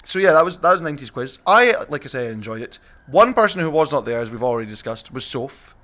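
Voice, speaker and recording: A man's voice: medium pitch, big pitch swings, fast talker, bright, forceful tone. A man with a mid-range voice hosts a casual podcast. He talks informally with a group and acts as their quizmaster.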